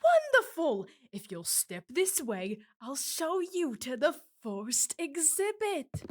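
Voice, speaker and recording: A woman's voice: sits high, wildly swooping pitch, takes it slowly, heavily acted. A woman playing an eager, pushy magical museum keeper in a family audio drama, showy and salesman-like, bossy with companions.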